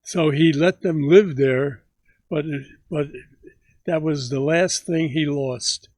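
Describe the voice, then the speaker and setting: Elderly man in his 90s, slow and halting, slightly high voice, dry close sound. Grandfather recounting memories. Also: a little mellow, no room sound. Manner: slow, halting recollection with many pauses. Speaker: grandfather telling stories. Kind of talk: casual family interview.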